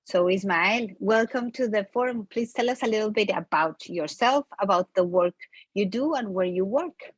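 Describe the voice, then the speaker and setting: Here is a woman moderating an online forum over a video call. She introduces a panelist in a measured way, with many uh fillers and some repeated words. The sound is dry and close. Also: medium pitch, husky, no room sound.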